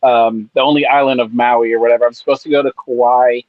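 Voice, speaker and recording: A man's voice: mid-range voice, little pitch movement, fairly quick, no echo, narrow, tinny audio. A man from California, a podcast co-host, chatting in a laid-back, rambling way with lots of fillers. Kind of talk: casual chat. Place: on vacation in Maui.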